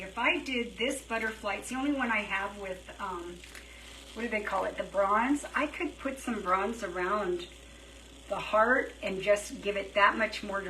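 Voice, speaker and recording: A woman chatting casually on a live craft stream, thinking out loud as she works, her throat dry and her voice feeling worn. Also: mid-range voice. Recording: roomy, slightly distant, faint hiss.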